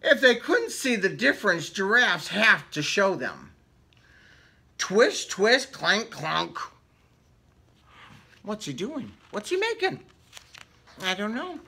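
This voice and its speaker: A man in his fifties reads a children's story in a theatrical, lively way. His pitch swings widely and his tone is bright, at an unhurried pace. He breaks off for casual asides and questions to young listeners. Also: vocal fry, breathy edge. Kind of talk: animated picture-book read-aloud with casual asides. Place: indoor room with book cut-outs.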